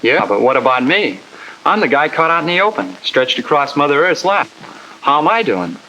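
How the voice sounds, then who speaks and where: A wisecracking enlisted man complains in a bright, forceful, lively voice. It is 1950 training-film acting on a slightly noisy soundtrack. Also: fairly high pitch, moderate pace. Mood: wry worry. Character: wry, anxious enlisted soldier questioning his sergeant.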